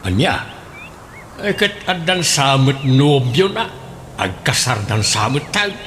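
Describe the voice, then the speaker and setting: Man acting mild filipino husband in radio drama, mid pitch, slight noise behind. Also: big pitch swings, slow pace, a bit bright. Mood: mildly reproving.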